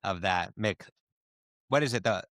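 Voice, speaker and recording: A man with a fairly low voice co-hosts a comedy podcast. He reads a silly story aloud and banters casually, recorded close and dry with little room sound. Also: measured pace, fairly bright tone, no room sound. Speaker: podcast co-host.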